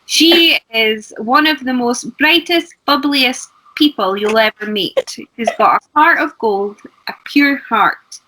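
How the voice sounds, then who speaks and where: A woman's voice: fairly high pitch, slow, noisy background. A woman, co-hosting a casual chat, affirming and agreeable. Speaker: co-host.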